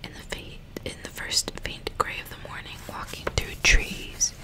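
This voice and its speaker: Female ASMR host and reader: breathy full whisper, close-miked, soft and deliberate delivery. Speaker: ASMR host reading a novel aloud. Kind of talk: whispered ASMR, chat and audiobook-style reading. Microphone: close ASMR microphone.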